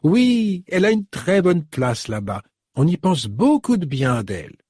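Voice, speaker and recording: A man's voice: fairly high pitch, big pitch swings, darkish tone, husky, no room sound, studio quality, voice pushed up, heavily acted. A man voicing a polite, composed middle-aged woman in an audiobook, in a slightly raised voice. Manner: courteous, measured.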